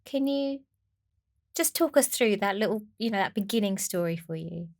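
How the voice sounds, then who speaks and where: A woman's voice: mid-range voice, lively intonation, moderate pace. A woman hosting an interview podcast, effusive and emphatic, drawing out key words. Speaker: podcast host. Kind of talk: interview podcast with scripted intro.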